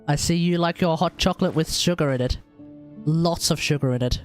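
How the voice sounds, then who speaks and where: A man giving casual livestream commentary on a game, often halting and repeating himself. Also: fairly high pitch. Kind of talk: casual live stream commentary. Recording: game music faintly behind.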